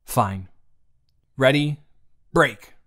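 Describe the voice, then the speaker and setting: A man's voice: mid-range voice, extremely slow, fairly bright tone. A man playing a fond, chatty office boss in his early thirties in a romantic audio roleplay, speaking earnestly and playfully straight to the listener. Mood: upbeat, playful. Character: warm, earnest thirty-three-year-old male office boss, fond and encouraging. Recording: background music. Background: music.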